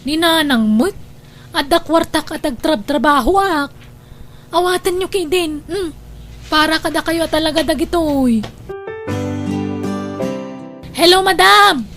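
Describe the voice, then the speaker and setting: A woman acts out a young housemaid in a radio drama, with a high voice and an Ilocano accent. She is chatty and sly, hesitating a little as she plays innocent. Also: slow, pauses often, a bit bright, faint music behind, heavily acted. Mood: breezy, boastful. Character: sly young housemaid who pilfers from her employers. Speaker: drama actor.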